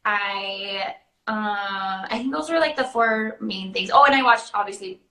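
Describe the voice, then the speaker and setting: A woman's voice: medium pitch, unhurried, pushed and bright, echoey room. A woman hosting a casual live chat, explaining things informally as she answers viewer questions. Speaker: children's party princess performer and live-stream host.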